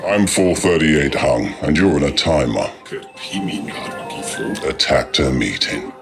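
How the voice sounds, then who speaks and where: An actor playing a haughty, menacing alien crime boss in a video game, with a gravelly voice and cold, deliberate threats. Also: male voice, very deep voice, sing-song, over music, exaggerated voice. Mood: cold, contemptuous. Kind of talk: video game cutscene acting.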